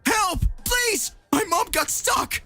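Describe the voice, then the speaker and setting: A man's voice: extremely high pitch, normal speed, sharp tone, faint music behind, voice pushed up. A man voicing a frightened, polite little boy in an anime-style story. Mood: panicked. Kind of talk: anime fan-fiction dramatic reading.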